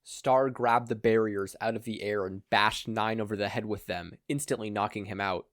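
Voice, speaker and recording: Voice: mid-range voice, fairly even intonation, normal speed, dry recording, clean studio sound. A narrator reading a scripted fan fiction story aloud. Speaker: podfic narrator.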